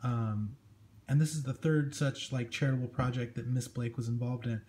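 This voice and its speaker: A man's voice: mid-range voice, steady tone, normal speed, warm tone. A man hosting a casual comic book review, talking in a conversational, enthusiastic way as he goes through the pages. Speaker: comic book show host. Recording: clearly noisy amateur recording with room ambience.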